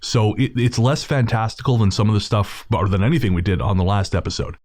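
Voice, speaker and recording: A man's voice: fairly low pitch, fast, a little mellow. A man hosting a podcast, casually introducing an episode and speaking to listeners in an informal, slangy way. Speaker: podcast host.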